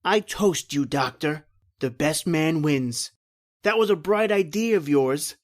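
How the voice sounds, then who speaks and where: Man playing a gloating, mock-polite villain, higher-pitched voice. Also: expressive, takes it slowly. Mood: smug menace. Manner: mock-courteous, boastful. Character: cunning, gloating male escaped convict, mock-polite villain. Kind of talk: old-time radio horror acting.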